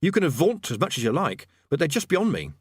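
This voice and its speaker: A man voicing a cowardly, nervous male wizard who talks in a hurried, sarcastic, evasive way. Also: on the high side, very animated, breathy edge, no room sound, clean studio sound, voice pushed up. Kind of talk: audiobook character voice.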